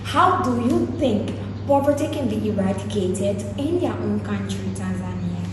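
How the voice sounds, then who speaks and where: A woman's voice: medium pitch, lively intonation, normal speed. A woman hosting an interview, speaking in a formal, ceremonious broadcast style. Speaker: interview host. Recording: echoey room, distant mic, noisy.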